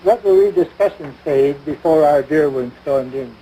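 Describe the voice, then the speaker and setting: A man's voice: a little high, normal speed, dark tone, slightly rough. An actor playing a pompous, long-suffering American husband and father in a domestic comedy, speaking formally with dry sarcasm. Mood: dryly pompous. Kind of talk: domestic radio-style situation comedy. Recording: noisy vintage broadcast recording.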